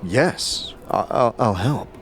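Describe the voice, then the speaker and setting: An actor playing a hesitant, questioning male wanderer with a halting, stammering way of talking in an audio drama. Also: fairly low pitch, animated. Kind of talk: audio drama acting and narration. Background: music.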